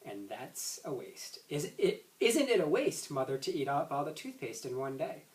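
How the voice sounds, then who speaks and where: A man's voice: on the high side, very animated, normal speed. A man voicing a bossy, tattling young sister from a storybook, with a scolding, matter-of-fact manner. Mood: accusing. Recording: roomy echo, distant microphone.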